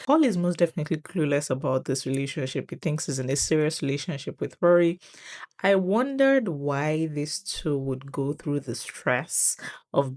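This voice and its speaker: A video commentator with a medium-pitched voice talks casually to viewers about a TV drama. The delivery is conversational and reflective, with hedging asides along the way. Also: darkish tone.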